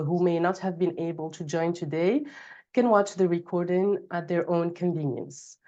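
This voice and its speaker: A woman's voice: on the low side, mellow. Brief: woman webinar host, slight cold, lo-fi video-call audio, bilingual with fillers. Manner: bilingual, reads housekeeping notes with frequent fillers. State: recovering from a cold. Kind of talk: scripted webinar hosting.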